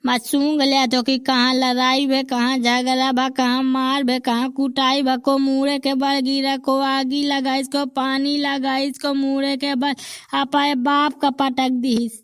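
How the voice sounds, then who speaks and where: A woman playing a slow, pompous Bundelkhandi quack doctor. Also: high voice, monotone, clear, resonant tone, dry recording, clean studio sound. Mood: boastful. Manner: self-important, drawn-out boasting.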